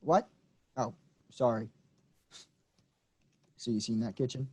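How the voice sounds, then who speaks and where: A man's voice: medium pitch, slow pace, breathy edge. A man playing Bobby, a brash, blunt young man in a staged play reading. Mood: needling. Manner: loud, offhand, needling.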